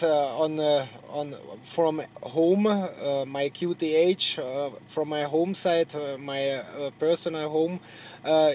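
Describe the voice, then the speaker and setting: Halting Hungarian-accented man, higher-pitched, noisy radio audio. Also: a little mellow. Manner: halting non-native English, repeats words. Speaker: truck driver and amateur radio operator. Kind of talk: casual radio contact chat.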